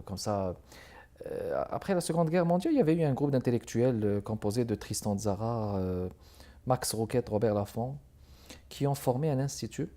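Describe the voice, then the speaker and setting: A male television host asks long, winding interview questions, often stumbling over and repeating his words. His voice is dark and mellow. He sounds relaxed rather than polished. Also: mid-range voice. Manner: halting, repeats words while searching. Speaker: TV arts show host.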